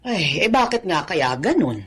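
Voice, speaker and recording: A woman's voice: fairly low pitch, sing-song, moderate pace, fairly bright tone, breathy edge, full character voice. An actress playing a concerned, chatty middle-aged mother in a Filipino radio drama, always asking questions. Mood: curious, concerned. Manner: inquisitive, concerned.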